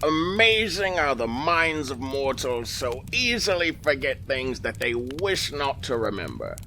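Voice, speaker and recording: An actor playing a wry otherworldly keeper who observes mortals, narrating an audio drama in a sly, musing, theatrical way. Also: male voice, mid-range voice, big pitch swings, a little slow, sharp tone, slight background noise, exaggerated voice.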